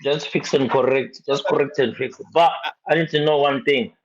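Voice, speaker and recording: A man's voice: medium pitch, steady tone, moderate pace, dull audio, a crowd in the background. A man who grew up in Zimbabwe, a guest on a podcast, chatting casually and teasing the hosts. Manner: joking, playful teasing. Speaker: guest musician.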